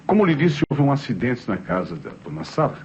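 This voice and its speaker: A man's voice: medium pitch, big pitch swings, fairly quick, gravelly, darkish tone. A Brazilian man playing a sly, ironic police inspector in an old radio drama, courteous and teasing, repeating himself. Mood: politely probing. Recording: muffled vintage radio recording, faint noise.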